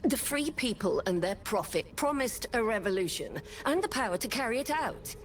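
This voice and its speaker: An actress playing a resolute, idealistic leader of rebel survivors in a fantasy video game, speaking with earnest conviction. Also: female voice, a little high, big pitch swings, normal speed. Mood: regretful, earnest. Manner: earnest, impassioned. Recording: music behind.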